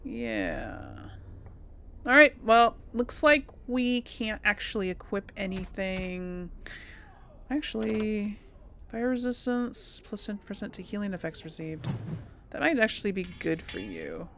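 A woman's voice: medium pitch, expressive, a little slow, creaky, a bit bright, a little hiss or hum. A woman hosting a casual game playthrough, thinking aloud hesitantly and murmuring to herself as she goes. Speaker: gaming video host.

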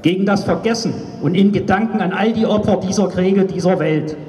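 A man in his fifties speaks into a handheld microphone at a street rally, his voice raised high. He declaims his political speech with emphasis, and it rings out over a loudspeaker across an open square, picked up from far off with long reverb. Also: a little fast, slight background noise. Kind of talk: political rally speech, partly read from notes. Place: outdoors on a cobbled town square at night. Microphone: handheld microphone through loudspeaker, recorded by a far camera. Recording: echoey outdoor PA, far from camera.

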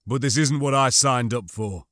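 A man's voice: medium pitch, normal speed, a bit bright, no room sound, clean studio sound, natural voice. A man voicing an earnest, troubled stormtrooper with a tense, questioning manner in an audiobook. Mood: uneasy. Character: troubled, doubting male stormtrooper, earnest and uneasy.

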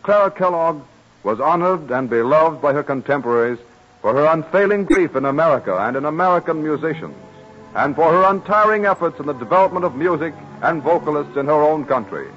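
A man narrating a 1930s radio documentary in a formal, measured way, on an old broadcast recording. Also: a little high, lively intonation, highs cut off, soft background music.

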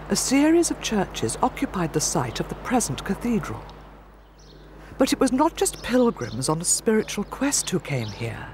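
A woman reading a documentary narration in a measured, expressive way. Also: mid-range voice, slight background noise. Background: music.

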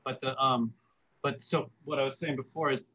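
A man's voice: mid-range voice, steady tone, normal speed, sharp tone, poor audio. A man, a paleoartist guest on a video call from his workshop, speaking hesitantly and repeating words. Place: workshop studio. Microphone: video call webcam.

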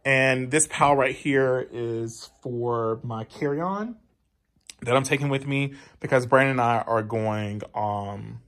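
A man's voice: medium pitch, slow, a bit bright. A man in his thirties talks with a stuffed-up, nasal voice as he walks through his home. He speaks casually and with feeling, calling his viewers y'all. He is filmed on a handheld phone indoors. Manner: chatty, emotional, addresses viewers as y'all. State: nasal congestion. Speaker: vlogger. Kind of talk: casual home-tour vlog. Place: house being packed up, indoors. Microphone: handheld phone camera.

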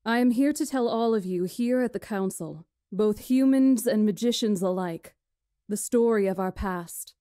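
A woman playing a poised, commanding witch who tells history in a formal, deliberate way for a visual novel. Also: medium pitch, warm tone, no echo, clean studio sound, lightly acted. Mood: stern, formal. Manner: formal, deliberate, imperious storytelling. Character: poised, commanding witch storyteller lecturing a council.

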